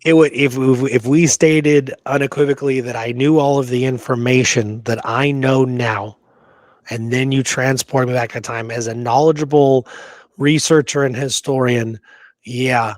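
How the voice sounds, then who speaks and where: An American man co-hosts a relaxed history talk in a mid-pitched voice. He speaks informally and leans on repeated words for emphasis. The sound is very dry, with no room around it. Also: moderate pace, no echo. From Pacific Northwest, United States. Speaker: show co-host and history researcher. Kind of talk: casual history discussion.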